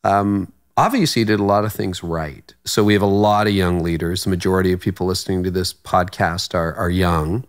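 A man's voice: low voice, steady tone, brisk, hardly any pauses, smooth. A middle-aged man hosting a podcast, asking searching questions with some restarts. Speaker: podcast host. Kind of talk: interview questions. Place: small room, indoors. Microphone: studio microphone on boom arm.

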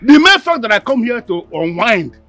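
Male actor as a pompous, short-fused chief: high, bright and fast-talking, dry close sound. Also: sing-song, no room sound, soft background music, full character voice. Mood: furious. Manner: blustering, repeats words, shouting. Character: pompous hot-tempered man, a rich regular. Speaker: angry customer called Chief.

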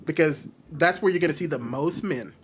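A man's voice: fairly high pitch, brisk. Man, blunt, teasing, video call, faint noise. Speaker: commentary channel host. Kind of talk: casual video-call chat.

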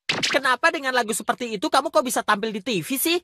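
A woman's voice: high voice, animated, fast, noisy background. A woman voicing a sly, smug cartoon schoolboy, a scheming show-off who tends to complain. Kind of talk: animated cartoon dub acting. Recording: music behind the voice.

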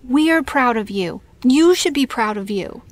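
A woman's voice: a little high, lively intonation, normal speed, close to an everyday voice. An actress playing a supportive, take-charge woman friend who teases, in a naturalistic audio drama. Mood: firm, supportive.

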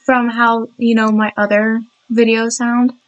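A woman's voice: fairly high pitch, flat delivery, unhurried, low-quality recording. Giggly young woman, slightly sore throat. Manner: chatty, giggly, apologetic, many restarts. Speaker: speed build narrator. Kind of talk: rambling game voiceover.

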